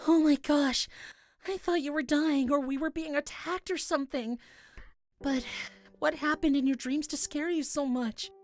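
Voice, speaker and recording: High-voiced shy young man played by a woman. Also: fairly even intonation, unhurried, no echo. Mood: curious, concerned. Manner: hesitant, soft-hearted. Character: shy, gentle, apologetic young man, fantasy visual novel. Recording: music playing behind the voice.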